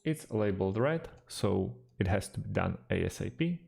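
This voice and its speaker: A man whose first language is Slovak, calmly walking through a software tutorial with frequent fillers. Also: fairly low pitch, gravelly. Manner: unhurried, hesitant with fillers, slightly non-native phrasing. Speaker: tutorial host. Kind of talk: screen-recorded software tutorial.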